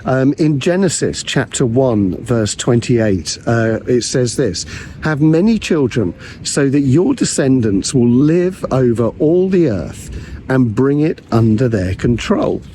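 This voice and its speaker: A man, a pastor and theologian, giving a measured teaching talk to camera with frequent ums and uhs, his voice a little croaky from recent illness. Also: mid-range voice, sing-song, mellow, slight background noise.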